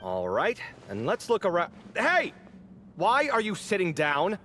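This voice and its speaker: A man's voice: sits high, wildly swooping pitch, a little slow, bright, forceful tone, soft background music, heavily acted. An actor playing an exasperated young man on a desert expedition in a video game, griping expressively. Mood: eager.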